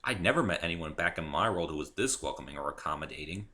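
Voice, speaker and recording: A man narrates a first-person story in a dramatic reading. His voice is fairly low and very bright, with animated swings in pitch. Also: fast. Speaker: amateur reader and author of the story.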